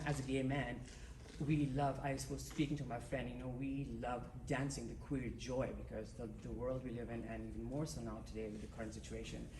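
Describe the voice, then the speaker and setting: A man from South Asia in a theater audience, giving a long, rambling comment full of fillers. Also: medium pitch. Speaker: audience member. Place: theater auditorium. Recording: roomy theater with hiss.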